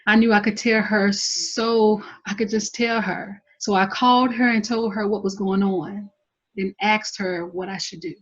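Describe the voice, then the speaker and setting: Troubled young woman actress reading a part, husky, reflective, like confiding, muffled lo-fi sound. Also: mid-range voice. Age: young adult. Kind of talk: dramatic table read.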